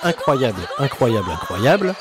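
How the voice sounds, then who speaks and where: Give me a man putting on a pompous female wrestler trading insults, animated, over a roaring arena crowd. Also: medium pitch, normal speed, voice pushed down, close to an everyday voice. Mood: taunting. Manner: mock-formal, pompous politeness turning to childish taunts. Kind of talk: comic voice-over dub of a wrestling clip. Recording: loud arena crowd behind.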